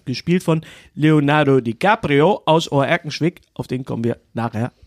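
A man with a somewhat higher voice hosts a casual film podcast. He is jokey and digressive, often repeating words as he finds his way through a sentence. The sound is dry and close. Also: normal speed, no echo. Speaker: podcast host. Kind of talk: casual banter and film chat.

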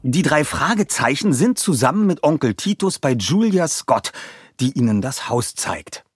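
A man narrating a scripted story like an audiobook, expressive and measured. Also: medium pitch.

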